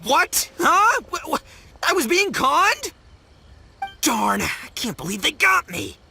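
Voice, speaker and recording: A man's voice: high-pitched, wildly swooping pitch, a little slow, bright, forceful tone, soft background music, full character voice. A man voicing a brash, dim but big-hearted young gang boss in a video game, bombastic and slangy. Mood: flabbergasted. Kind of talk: animated video game voice acting.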